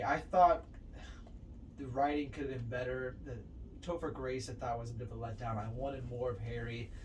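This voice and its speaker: A man with a medium-pitched voice chats informally as a podcast co-host, in short, emphatic phrases. He is a little far from the mic, with faint noise in the recording. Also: darkish tone. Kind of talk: casual film ranking chat.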